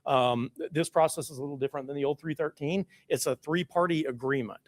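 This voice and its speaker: A man, a lawyer, addressing a school board meeting in a loud, chatty way with many fillers. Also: a little high, fast.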